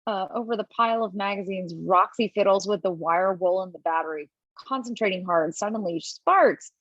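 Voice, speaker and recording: A woman narrating stage directions at a table read from home, lively and expressive, with small slips. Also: mid-range voice, normal speed, no room sound. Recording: dull lo-fi, dry.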